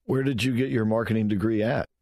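A man hosting a talk show, questioning a guest in a relaxed, conversational way and sometimes stumbling over his words. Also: on the low side, fast talker. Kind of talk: radio talk show interview.

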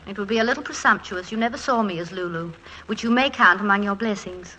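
Woman playing a poised teasing wife, ex stage actress, bright voice, old radio show with faint hiss. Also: mid-range voice, fast talker, close to an everyday voice. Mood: fond, nostalgic. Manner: playful, theatrical, refined. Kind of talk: 1940s radio comedy-drama acting. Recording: noisy 1940s radio recording.

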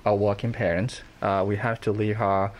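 A man's voice: lowish voice, level tone, normal speed, a little hiss or hum. A man from Burma with a Burmese accent, a parent answering interview questions thoughtfully, with frequent hesitations and restarts. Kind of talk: conversational interview.

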